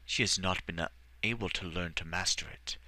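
A man voicing a wise old elf mage who speaks in a measured, formal and gentle way. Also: deep voice, no echo. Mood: calm.

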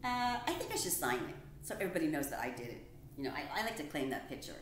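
A woman's voice: low-pitched, very animated, quick pace, a little hiss or hum, pitched below the performer's own voice. A woman voicing a polite, particular little male mouse in a children's story, chatty and eager. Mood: pleased. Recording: roomy echo.

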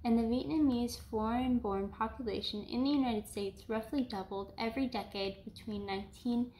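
A young woman from South Louisiana hosting a home cooking show, explaining each step calmly and then narrating some food history. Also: fairly high pitch, normal speed, a little hiss or hum. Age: young adult. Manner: calm, instructional. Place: home kitchen, indoors. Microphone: camera mic, across the kitchen. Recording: echoey kitchen room sound.

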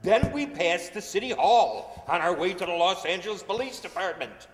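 A man's voice: high-pitched, expressive, normal speed. An older man playing a pushy, talkative bus tour guide, declaiming his patter in old-time radio comedy style. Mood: indignant, insistent. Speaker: amateur radio-play actor. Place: large indoor stage hall, stand mic. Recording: reverberant hall, stage microphone.

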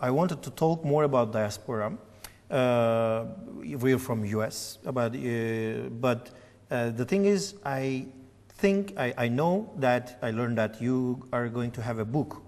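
A man's voice: medium pitch, unhurried, darkish tone. Man in his thirties, TV host, hesitant phrasing, lapel mic, reverberant studio. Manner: hesitant, many fillers and restarts. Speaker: TV interview host. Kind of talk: studio interview.

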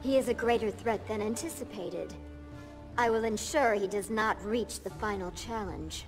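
An actress playing a proud, grim warrior princess and assassin in a video game, stern and formal. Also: female voice, mid-range voice, moderate pace, over music, lightly acted. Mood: cold resolve. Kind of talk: video game drama acting.

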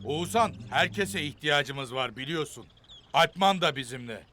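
An actor playing a kindly, encouraging leader of a nomad camp in an animated children's series. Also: male voice, on the high side, moderate pace, faint music behind. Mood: steady, instructing.

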